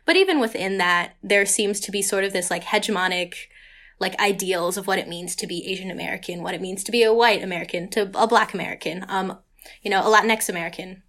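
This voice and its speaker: A woman's voice: mid-range voice, fast talker, fairly bright tone. A young woman in college, co-hosting a student podcast and talking casually with lots of "like" and "um" fillers. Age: young adult. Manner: chatty, many "like" and "um" fillers. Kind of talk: casual conversation.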